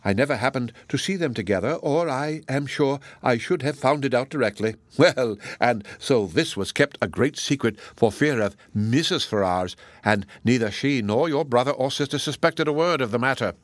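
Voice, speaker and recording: A man's voice: mid-range voice, expressive, normal speed, hardly any pauses, no echo, close to an everyday voice. A man voicing a chatty, good-hearted older matron in an audiobook, gossiping in a rambling, exclamatory and indignant way. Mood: astonished, gossipy. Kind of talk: audiobook character dialogue.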